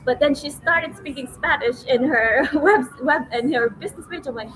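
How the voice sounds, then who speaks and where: A woman's voice: high-pitched, moderate pace, a bit bright. A woman in her forties hosting a casual livestream in a chatty, giggly storytelling manner. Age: 40s. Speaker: livestream host, online business owner. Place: restaurant, waiting for food. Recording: noisy ambience of a meal venue.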